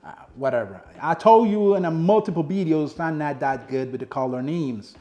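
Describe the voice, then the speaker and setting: Chatty Dominican-accented man, fairly high voice. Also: normal speed, mellow. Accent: Dominican Spanish. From Dominican Republic. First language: Spanish. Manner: chatty, repeats points for emphasis. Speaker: sneaker reviewer. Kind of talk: casual product review to camera.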